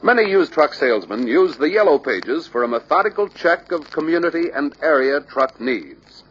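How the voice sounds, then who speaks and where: A man reading scripted instructional narration for a sales training film, deliberate and emphatic. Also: on the high side, sing-song, slight background noise. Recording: dull lo-fi old training film soundtrack.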